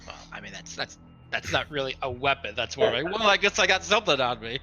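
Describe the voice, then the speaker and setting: A man's voice: medium pitch, very animated, fast, sharp tone, faint music behind. A man playing a tabletop roleplaying game, chatting casually about his moves.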